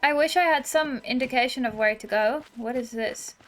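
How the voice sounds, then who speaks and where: A woman's voice: sits high, normal speed, fairly bright tone, low-quality recording. A woman giving casual, chatty let's-play commentary on a game, playful and given to exclaiming. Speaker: gaming video host.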